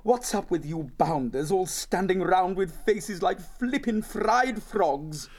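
A blustering posh young hare. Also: male voice, high-pitched, expressive, slow pace, pitched above the performer's own voice, full character voice. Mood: indignant bluster. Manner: plummy old-fashioned bluster with "what, what".

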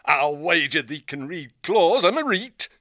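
An actor playing an excitable, forgetful male owl with a Northern English dialect and old-fashioned folksy speech in an audiobook drama. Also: fairly high pitch, measured pace, no room sound, studio quality, full character voice. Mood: excited.

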